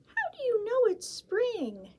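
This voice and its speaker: Little Mouse, a squeaky eager young mouse voiced by a woman. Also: huge pitch swings, slow pace, warm tone, heavily acted. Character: tiny cheerful young mouse, curious and excitable.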